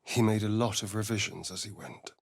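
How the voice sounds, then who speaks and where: An actor playing a sly, vain vampire rogue in a video game, with an arch, sardonic, flamboyant delivery. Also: male voice, on the low side, a little fast, creaky, husky, dry recording. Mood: dramatic, hushed. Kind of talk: video game voice acting, dramatic lines and narration.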